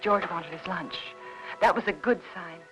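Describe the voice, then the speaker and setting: An actress with an American accent playing an anxious, kindly housewife in a mid-century film noir, gentle and solicitous. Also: female voice, on the low side, normal speed, natural voice, rough recording. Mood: uneasy, reflective. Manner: gentle, solicitous, cheerful with others, uneasy when narrating. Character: anxious, kindly housewife caring for her sick husband. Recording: vintage film soundtrack with music behind.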